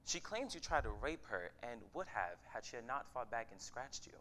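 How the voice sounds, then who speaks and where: Man acting a bright, insistent news reporter. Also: medium pitch, very animated, normal speed, slight background noise. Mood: formal, probing. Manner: insistent, probing questions. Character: pushy male news reporter and TV interviewer. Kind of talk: staged play reading.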